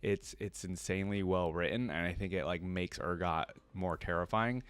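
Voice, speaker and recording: Man, fairly low voice, dry sound, casual reaction host, informal fan delivery. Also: steady tone, moderate pace, fairly bright tone, clear, resonant tone, no echo. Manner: informal slangy fan talk. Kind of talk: casual reaction commentary with story read-aloud.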